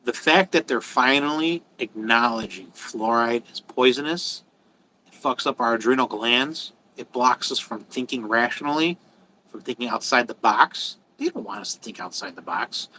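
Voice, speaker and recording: Bright-voiced, emphatic man on a livestream. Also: mid-range voice, normal speed, a little hiss or hum, rough recording. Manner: emphatic, repeats phrases for stress; blunt, frequently swearing. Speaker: livestream host answering viewer comments. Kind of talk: casual, rambling opinion talk to viewers.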